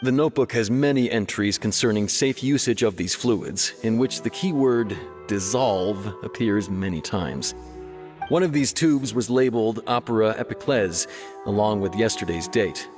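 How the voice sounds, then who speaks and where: A man plays a dutiful game guard with a fairly low voice. He reports formally and precisely, in a measured, matter-of-fact way, with music behind him. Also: lively intonation, natural voice. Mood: grave, official. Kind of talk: video game voice acting, official report.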